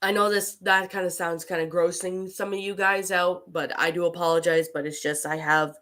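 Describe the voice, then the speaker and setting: A woman with a cold, chatting casually as a livestream host and answering viewers in a halting way. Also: lowish voice, flat delivery, a bit bright. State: getting over a cold with allergies. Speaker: livestream host and creepypasta narrator.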